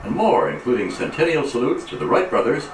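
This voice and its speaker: A man's voice: mid-range voice, sing-song, brisk, echoey room, a little hiss or hum. An American man reading scripted radio sponsor announcements, picked up at a distance with music behind him.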